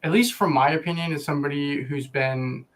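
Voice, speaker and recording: A man's voice: on the high side, a little fast, a bit bright. A man co-hosting a casual panel chat, asking questions carefully and rephrasing as he goes.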